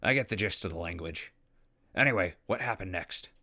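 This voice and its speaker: A man acts out an irreverent ghoul wanderer in an audio drama. His voice is fairly low and full of gravel. He talks casually, with dry, sardonic ease. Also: lively intonation, fairly quick, sharp tone, full character voice. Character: wry, easygoing, irreverent ghoul wanderer narrating his journey. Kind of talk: post-apocalyptic audio drama acting.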